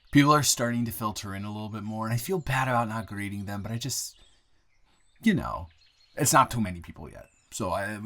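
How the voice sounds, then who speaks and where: A man playing a friendly young newcomer to town, chatting casually and haltingly with a close friend in an improvised roleplay audio. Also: on the low side, a bit bright. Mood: casual, hesitant. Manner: rambling, halting, self-correcting, conversational. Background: bird and outdoor ambience sound effects.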